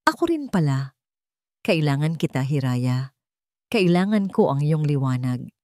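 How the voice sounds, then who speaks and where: A woman with a Filipino accent voicing a tender, devoted father in love, softly and sincerely, in a narrated story. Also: deep voice, big pitch swings, unhurried, no echo, studio quality. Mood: sincere confession. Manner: soft, sincere, pleading.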